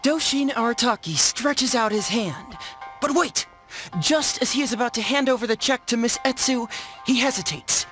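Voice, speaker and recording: A woman voicing a poised, wry storyteller in a game, narrating theatrically and deliberately to build suspense. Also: fairly high pitch, close to an everyday voice. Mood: dramatic suspense. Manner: theatrical, deliberate, ornate wording. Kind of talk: dramatic scripted narration. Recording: loud background music.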